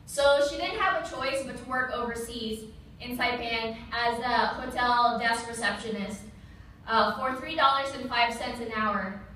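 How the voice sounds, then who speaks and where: A woman's voice: a little high, fairly even intonation, pushed and bright. Young woman giving a speech in an echoey hall. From Philippines. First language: Tagalog. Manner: measured, reads from a prepared text. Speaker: Army officer giving an observance speech. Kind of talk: prepared public speech. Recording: echoey hall, distant mic, faint room noise.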